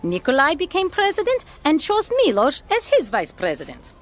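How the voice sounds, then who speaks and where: An actress playing a concerned, caring schoolteacher in an audio drama, speaking in a measured, earnest way. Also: female voice, high-pitched, wildly swooping pitch. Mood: measured, troubled. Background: background music.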